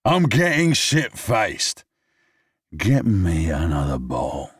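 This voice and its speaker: A man voicing a belligerent drunk man who slurs, shouts and taunts. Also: low-pitched, huge pitch swings, slow pace, breathy edge, no echo, clean studio sound, voice pushed up, full character voice. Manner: slurred, loud, cocky taunting.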